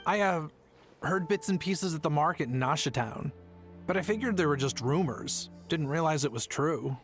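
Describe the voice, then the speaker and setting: A gentle, evasive merchant father in a game, played by a man. His voice sits a little high, hesitant and apologetic, with music behind it. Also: expressive, normal speed, close to an everyday voice. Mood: uneasy. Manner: hesitant, placating. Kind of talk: video game voice acting.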